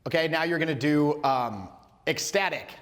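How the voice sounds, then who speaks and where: Playful coach in a reverberant hall. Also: male voice, fairly high pitch, normal speed, a bit bright. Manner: playful, loose, lots of filler words and restarts. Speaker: social skills coach. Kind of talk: energetic live workshop teaching.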